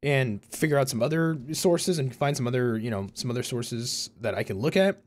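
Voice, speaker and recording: A man's voice: mid-range voice, animated, moderate pace. A man in his early twenties with a Californian American accent, chatting casually and informally to viewers in a rambling way, often correcting himself. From Concord, California, USA. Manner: rambling, informal, self-correcting, frequent "you know" and "like". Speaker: YouTuber and university student. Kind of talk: casual personal vlog talk to viewers.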